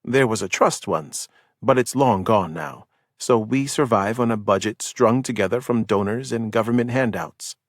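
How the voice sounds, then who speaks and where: Calm explanatory asylum doctor, voiced by a narrator, low, dry-voiced, audiobook. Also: male voice, moderate pace, no echo, natural voice. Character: male hospital doctor giving a calm tour.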